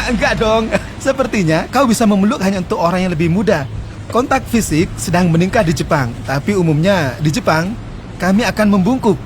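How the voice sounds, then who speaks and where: A man in his forties explaining customs in a casual interview, quick and earnest. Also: sits high, animated, noisy background. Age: 40s. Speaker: interview guest.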